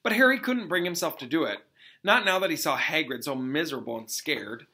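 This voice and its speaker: A man reading a storybook aloud as a teacher, pausing to explain things simply and casually. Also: a little high, animated, sharp tone. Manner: explains simply to young listeners.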